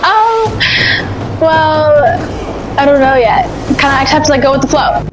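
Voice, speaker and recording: A woman's voice: high voice, lively intonation, a little slow, noisy background. An eighteen-year-old woman answering as a contestant in a casual interview, hesitant and full of fillers. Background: music.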